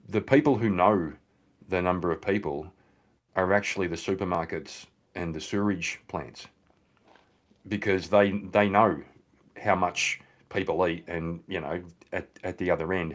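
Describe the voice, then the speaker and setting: Man with a really deep voice, talks slow-ish with lots of ums. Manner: measured, hesitant with frequent ums. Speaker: panel guest. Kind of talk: casual panel discussion.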